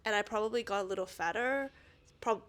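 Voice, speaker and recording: A woman in her thirties chatting on a podcast in a mid-pitched voice. She sounds a little sniffly from a cold and talks in looping, self-interrupting sentences. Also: fairly bright tone. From Australia. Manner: rambling, self-interrupting, quick to laugh. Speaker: podcast co-host. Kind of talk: casual chat.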